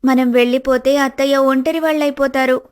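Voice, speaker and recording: A woman voicing a clever, outspoken young daughter-in-law in an animated family story, earnest and firm. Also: high-pitched, a little fast, natural voice. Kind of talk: animated family drama dialogue.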